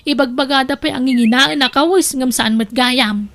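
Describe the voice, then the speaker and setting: A woman's voice: high-pitched, moderate pace, a bit bright. A woman with an Ilocano accent playing an inquisitive, gossipy but sympathetic cousin in an audio drama. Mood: gossipy surprise.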